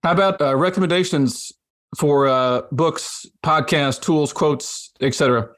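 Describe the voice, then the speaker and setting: A man's voice: on the high side, measured pace, fairly bright tone. A man hosts a podcast interview in a slightly raised voice. He is easygoing and keeps the talk moving with short, affirming replies. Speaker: podcast host. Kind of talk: casual interview chat.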